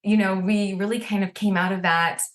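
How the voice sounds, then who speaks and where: A woman's voice: mid-range voice, fairly even intonation, moderate pace. A woman hosting a podcast, reading a scripted guest introduction and then chatting casually in an upbeat manner. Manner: upbeat, reads introduction smoothly. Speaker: podcast host.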